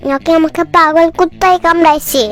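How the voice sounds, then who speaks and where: An extremely high, squeaky small child from a comic cartoon. The kid is cheeky and bossy, scolds and teases, and mangles words. Music plays behind the voice. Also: steady tone, fairly quick, a bit bright. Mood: indignant.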